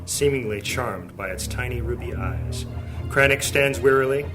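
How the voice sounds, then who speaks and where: A man's voice: mid-range voice, moderate pace, over music. A man narrating a fantasy audio drama in a scripted, dramatic storytelling style.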